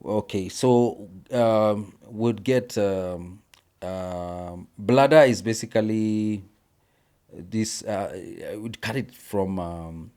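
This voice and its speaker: A Kenyan man with a fairly low voice, a guest on a casual podcast. He tells his stories with animated bursts and many hesitant fillers. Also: takes it slowly, smooth. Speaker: guest musician. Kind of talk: casual interview chat.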